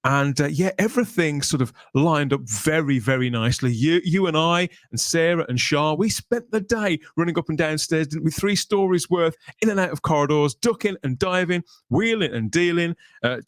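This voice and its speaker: A man's voice: on the high side, animated, normal speed, hardly any pauses, dry recording, clean studio sound. A man from Birmingham with an English accent, presenting and chatting as a podcast host. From Birmingham, England. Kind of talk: upbeat podcast presenting and chat.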